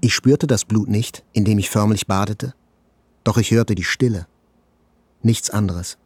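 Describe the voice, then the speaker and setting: An actor playing a wounded survivor, narrating in a measured, literary way as in a radio play. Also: male voice, on the low side, darkish tone, breathy edge, dry recording. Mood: grave, tense. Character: wounded male survivor narrating a terror attack, intense, inward.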